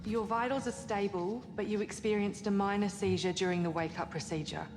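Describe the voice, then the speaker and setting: Woman acting spaceship crew lady, normal pitch, hall reverb, bit of music, sci-fi game. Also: steady tone, fairly quick, natural voice. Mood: helpful.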